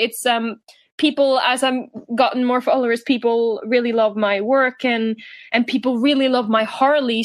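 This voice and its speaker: A woman's voice: fairly high pitch, no room sound. A woman from Norway with a Norwegian accent, chatting casually as a podcast guest, with frequent ums and restarts. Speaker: guest cosplayer.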